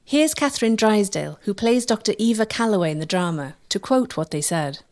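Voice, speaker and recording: A woman's voice: mid-range voice, lively intonation, a little fast, darkish tone. A woman reading scripted documentary narration as the narrator.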